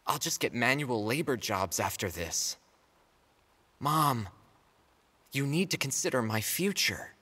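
A man playing a worried young adult son in a stage drama, pleading under pressure in a husky voice. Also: mid-range voice, big pitch swings, measured pace, long pauses, bright, forceful tone, heavily acted. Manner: pleading, strained. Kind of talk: stage drama acting, English dub. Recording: reverberant stage hall.

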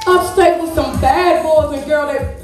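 A woman's voice: sits high, fairly even intonation, a crowd in the background. In a very echoey theater, far from the mic, a sassy gossip played by a woman holds forth in broad comedy stage style. She is theatrical and drags words out, acting out every rumor. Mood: gossipy. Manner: theatrical, drawn-out, exaggerated. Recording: echoey theater from afar, loud music.

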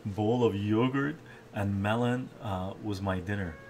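A man's voice: fairly low pitch, a little slow, a little hiss or hum. Older man chatting in his kitchen. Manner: relaxed, chatty, reads chat aloud while cooking. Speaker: cooking live streamer. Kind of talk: casual cooking live stream. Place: home kitchen, indoors. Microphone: camera at distance. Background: clattering dishes and cutlery.

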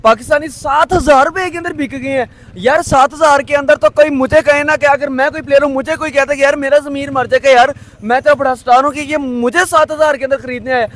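A man's voice: extremely high pitch, fairly even intonation, fairly quick, fairly bright tone. A young man speaking with scorn and indignation in a night-time street interview, into a handheld mic. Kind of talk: street vox pop. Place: city street at night, outdoors.

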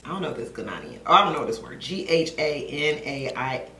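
A young woman vlogging to camera at home, gushing and excited as she talks to her viewers. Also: deep voice, a little fast, roomy sound, slight background noise. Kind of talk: casual vlog talk to camera. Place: living room at home.

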